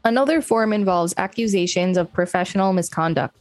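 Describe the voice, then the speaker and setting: A woman with a slightly low voice co-hosts a podcast. She reads encyclopedia-style text aloud with casual asides, over faint music. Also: brisk, dry recording. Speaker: podcast co-host. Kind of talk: reading an encyclopedia article aloud with casual banter.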